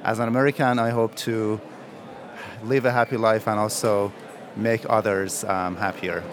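A man from Iran, a new citizen, speaking slowly and earnestly in a short recorded statement. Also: on the low side, fairly even intonation.

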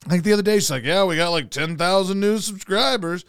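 Hoarse rambling man hosting a show. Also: high voice, fairly quick, a bit bright, no echo, clean studio sound. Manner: rambling, loose and profane. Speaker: radio host. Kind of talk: casual podcast chat and live ad read.

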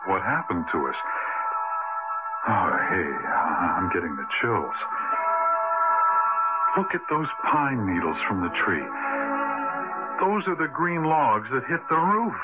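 Young husband in old radio play, hesitant n rattled, swingy voice, tinny sound, music under. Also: male voice, lowish voice, big pitch swings, takes it slowly, pauses often. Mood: shaken, hushed. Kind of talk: 1970s-80s radio drama acting. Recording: lo-fi vintage radio broadcast, music behind.

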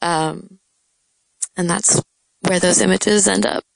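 A young woman poet with a soft voice, talking in a hesitant, reflective way in an interview. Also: on the low side, steady tone, slow pace, creaky, no room sound, rough recording. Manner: soft-spoken, hesitant, many fillers. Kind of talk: casual interview chat.

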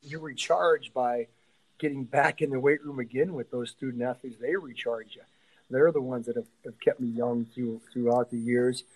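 A middle-aged man, a strength coach being interviewed, talking casually and haltingly, often thinking aloud and trailing off. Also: mid-range voice, fairly even intonation, low-quality recording.

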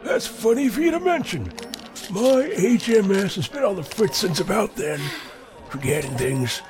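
A man's voice: high-pitched, lively intonation, moderate pace, music in the background. An actor playing a chatty, folksy older man, a wry and self-mocking regular at the movie theater. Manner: folksy, rambling, chuckling.

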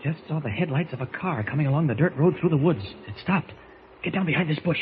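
A man's voice: animated, fast talker, lightly acted. An actor playing a heroic, protective man in a 1940s radio serial, with a dramatic delivery. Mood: tense, hushed. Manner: dramatic, shifts between deep hero voice and lighter everyday voice. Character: heroic adult man in two guises, caring, protective. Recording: muffled, noisy old radio recording.

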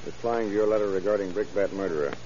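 An actor playing a dogged, earnest police lieutenant with clipped cop slang in a vintage radio crime drama. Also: male voice, on the low side, fast, dark tone, lightly acted. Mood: earnest, determined. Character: dogged, hunch-driven male police lieutenant. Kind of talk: 1930s-40s crime radio drama acting. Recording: muffled old radio recording, faint hiss.

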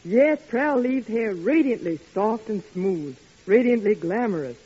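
Male co-announcer, high pitch, husky, 1940s radio commercial, muffled recording. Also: animated, unhurried, dark tone.

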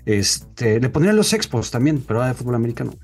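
A man's voice: medium pitch, very animated, fast, a little mellow. Slangy, profane Mexican man. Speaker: podcast co-host. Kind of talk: casual sports chat.

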